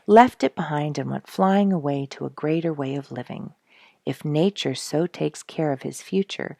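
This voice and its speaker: Lady doing serious naturalist mentor from a storybook, earnest, reflective, creaky voice, very dry sound. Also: sits low, mellow, no room sound, full character voice. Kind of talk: storybook read-aloud.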